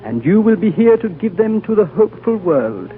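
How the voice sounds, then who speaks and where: An actor playing an ancient, wise and gentle high lama with a solemn, fatherly, oratorical manner, in the style of 1940s radio drama. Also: very animated, normal speed. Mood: prophetic, solemn. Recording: tinny 1940s radio recording over music.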